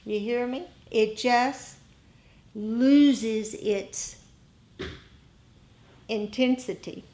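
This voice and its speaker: A woman meditation teacher giving a dharma talk to a group, speaking deliberately in short phrases with rhetorical questions. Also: fairly high pitch, mellow.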